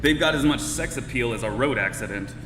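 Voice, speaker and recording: A man's voice: medium pitch, a little fast, music in the background, lightly acted. A man playing a breezy, offhand alien hitchhiker in a live radio-style comedy play. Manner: offhand, animated. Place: live stage performance in a hall. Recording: echoey hall, noisy live ambience.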